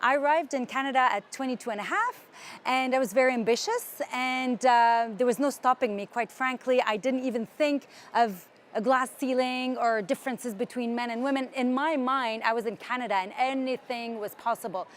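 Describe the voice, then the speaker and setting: Middle-aged woman from Lebanon, high voice, confident and emphatic panel guest. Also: moderate pace, slight background noise. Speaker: panel guest, founder of a nonprofit. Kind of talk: conference panel discussion.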